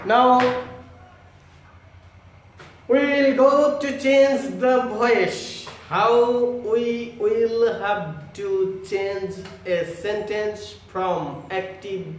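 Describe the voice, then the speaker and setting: A man giving a grammar lesson to students as their teacher, explaining in a deliberate, repetitive way. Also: high voice, fairly even intonation, a little hiss or hum. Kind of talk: classroom lesson addressed to students. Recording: echoey room, distant microphone.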